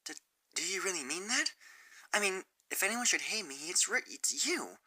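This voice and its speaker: A woman voicing a dejected, guilt-ridden teenage girl in a fan story reading, downcast and halting. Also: lowish voice, very animated, bright, forceful tone, breathy edge, pitched above the performer's own voice, heavily acted. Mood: tentative hope.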